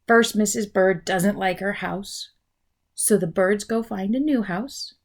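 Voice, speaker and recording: A woman teacher reading a children's storybook aloud in an expressive, explanatory way for young listeners. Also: mid-range voice, unhurried.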